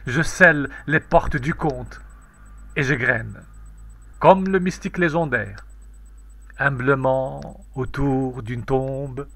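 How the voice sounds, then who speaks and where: A man reciting poetry in a solemn, declamatory way, in measured phrases with pauses between them. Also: medium pitch, vocal fry, pushed and bright. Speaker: poetry reader.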